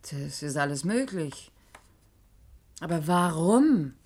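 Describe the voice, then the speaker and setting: Sceptical rational woman, slightly low voice, serious, questioning, radio drama. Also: sing-song, takes it slowly, darkish tone, husky, full character voice. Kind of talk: German radio play acting.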